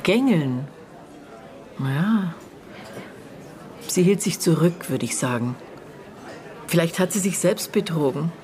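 An actress playing a middle-aged, bitter and guarded aunt in a naturalistic radio drama, speaking in terse, halting phrases as she searches her memory. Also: fairly low pitch, lively intonation, darkish tone, a faint crowd. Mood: pensive. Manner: terse, halting, broken phrases with thoughtful pauses.

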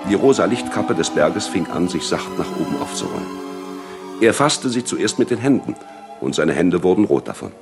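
A man narrates literary prose with drama. His voice sits very low yet moves widely in pitch. Music plays faintly along with the reading. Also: big pitch swings, moderate pace.

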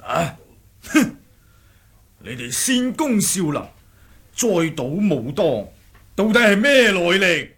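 An actor playing a brash, mocking kung fu fighter in a Cantonese radio drama, husky and theatrical. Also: male voice, sits high, very animated, slow, long pauses, a bit bright, heavily acted. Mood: indignant. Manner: theatrical, taunting, with cackling flourishes. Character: brash mocking martial-arts fighter of the Ming sect.

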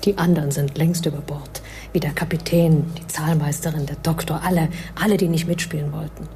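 A woman's voice: sits low, steady tone, brisk, mellow. A woman playing a tough secret agent in her thirties in a radio play, matter-of-fact about grim business. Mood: grim, urgent. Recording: radio play with faint background noise.

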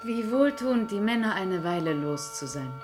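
An actress playing a witty, cynical high-society lady in a 1960s radio play, teasing and ironic. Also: expressive, normal speed, music in the background, natural voice. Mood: arch, mocking.

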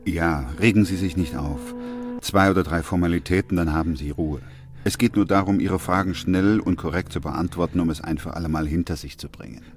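Very deep, sober doctor-narrator. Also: male voice, steady tone, brisk, faint music behind. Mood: calm. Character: sober, composed male doctor who narrates. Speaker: narrator and lead actor. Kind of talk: literary radio drama narration and dialogue.

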